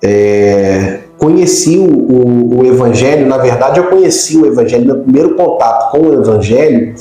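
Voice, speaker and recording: Very echoey room, mellow male voice, Brazilian, middle-aged pastor, explanatory interview talk. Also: mid-range voice, expressive, normal speed. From Rio de Janeiro state, Brazil. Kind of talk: conversational religious interview. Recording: very echoey room, faint music.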